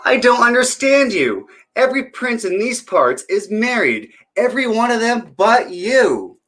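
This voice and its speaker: A man's voice: extremely high pitch, big pitch swings, a little slow, fairly bright tone, faint music behind, pitched above the performer's own voice, exaggerated voice. A man voicing a bossy, fed-up older queen from a storybook, scolding her son with theatrical emphasis. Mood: exasperated, scolding. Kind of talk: storybook character voice.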